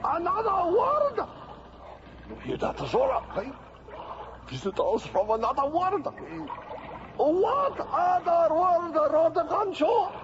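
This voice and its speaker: Dotty happy alien lord for old radio play, swoopy high voice, muffled recording. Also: male voice, very animated, slow pace, heavily acted. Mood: delighted, amazed. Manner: doddering, effusive, full of vague murmurs. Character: male alien warlord turned dreamy, genial and childlike. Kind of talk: old-time radio drama acting. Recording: noisy, dull vintage radio recording.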